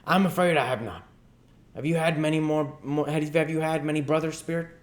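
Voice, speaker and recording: A man's voice: a little high, a little fast, roomy sound, pitched above the performer's own voice, close to an everyday voice. A man voicing a humbled old miser in a storybook, timid, earnest and submissive.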